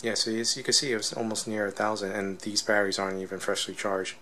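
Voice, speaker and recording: A man's voice: fairly low pitch, little pitch movement, fast talker, sharp tone. A man giving a casual, unscripted technical demonstration as a product reviewer, explaining step by step and often checking in with a questioning tag.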